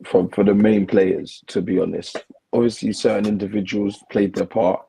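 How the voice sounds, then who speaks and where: A man co-hosting a casual football chat, slightly hoarse from losing his voice, laid-back and defensive about his team. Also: fairly low pitch, fairly even intonation, normal speed, dark tone, slight background noise, low-quality recording. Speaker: co-host, football fan.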